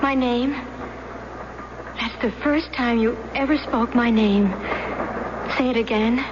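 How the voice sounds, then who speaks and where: High-voiced giddy, lovestruck young woman. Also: takes it slowly. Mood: coy, dreamy. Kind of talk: 1970s radio drama acting. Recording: dull, very noisy old radio recording.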